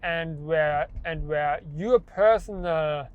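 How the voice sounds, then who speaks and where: A man's voice: on the high side, slow pace, smooth, narrow, tinny audio. A young man who stutters, with repeated words and restarts, talking about his own experience in a personal vlog. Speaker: vlogger.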